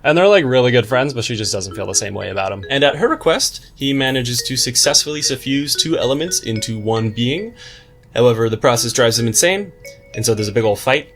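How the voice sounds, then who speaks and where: A man's voice: medium pitch, fairly bright tone. A man giving a scripted book review commentary in a wry, tongue-in-cheek, slangy way. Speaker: book reviewer, video host. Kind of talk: scripted video essay commentary with slangy asides.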